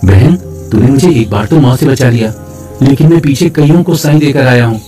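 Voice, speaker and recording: A man playing a gentle, patient, affectionate young brother in a folk tale, soft-spoken. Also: medium pitch, brisk, faint music behind, natural voice. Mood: grave.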